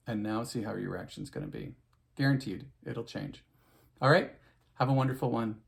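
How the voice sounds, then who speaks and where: A man's voice: mid-range voice, vocal fry. A middle-aged man, an opera singer, chatting casually to his viewers in a loose, rambling way with lots of fillers and restarts. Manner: chatty, full of fillers and restarts, warm sign-off advice.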